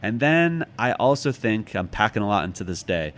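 An American man from Chicago talking casually as an interview guest, halting and thoughtful as he tells anecdotes and gives advice. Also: on the low side, very animated. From Chicago, United States. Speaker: author and podcast guest.

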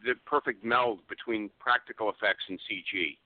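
A man chatting casually as a radio interview guest, halting but matter-of-fact. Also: on the low side, no room sound. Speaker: guest, film special effects artist. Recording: dry, muffled phone-like line.

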